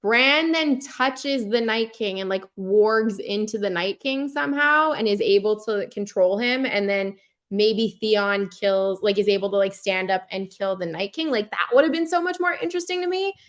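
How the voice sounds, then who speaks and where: A woman with a medium-pitched voice chats as a podcast host. Her delivery is casual and conversational. She is expressive and leans hard on words for emphasis. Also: moderate pace. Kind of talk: casual chat.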